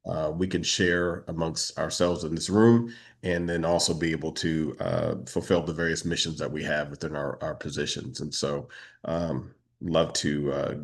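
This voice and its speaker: A man hosting a business networking video call, talking casually with halting pauses between phrases. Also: rumbling low, fairly even intonation. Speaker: meetup host and moderator. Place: online video meeting.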